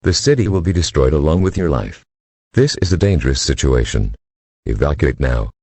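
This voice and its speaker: A man's voice: extremely low pitch, very animated, normal speed, darkish tone, a little hiss or hum. A man delivering a scripted emergency broadcast announcement in a formal, stilted manner.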